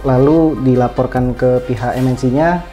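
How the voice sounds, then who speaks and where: A man giving brief, plain, halting answers as a guest in a casual interview. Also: mid-range voice, steady tone, warm tone. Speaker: podcast guest, IT security worker. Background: music.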